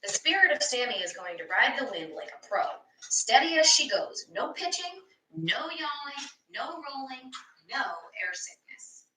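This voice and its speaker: A woman's voice: high-pitched, very animated, unhurried, bright, forceful tone, a little hiss or hum, pitched above the performer's own voice, exaggerated voice. A woman voicing a cocky, impatient boy inventor in a storybook, boastful and scoffing toward his brother. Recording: echoey room, distant microphone.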